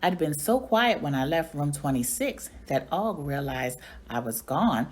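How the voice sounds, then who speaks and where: A woman narrates a children's story in expressive read-aloud style. Her voice sits low and moves widely in pitch. It has a husky edge. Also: a little slow. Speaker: teacher reading aloud.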